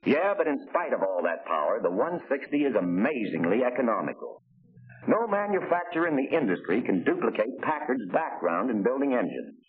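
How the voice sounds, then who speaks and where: A man's voice: mid-range voice, natural voice. An actor playing an enthusiastic car salesman in a polished, declamatory 1940s promotional film narration. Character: enthusiastic male car salesman showing off luxury models. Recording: tinny, noisy 1940 film soundtrack.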